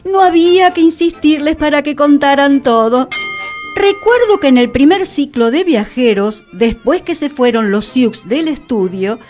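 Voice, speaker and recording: An actress playing a whimsical, touchy radio hostess in a fantasy radio play, with theatrical, florid phrasing. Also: female voice, high voice, lively intonation, a little slow, darkish tone, faint music behind. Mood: wistful, insistent. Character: whimsical, touchy radio hostess living among enchantments and dragons. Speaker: radio show host. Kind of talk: scripted radio theatre dialogue.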